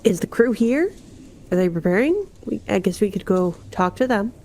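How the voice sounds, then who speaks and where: A woman's voice: mid-range voice, expressive, normal speed, dark tone, a little hiss or hum. A woman playing in a tabletop roleplaying game, improvising in a casual, conversational way.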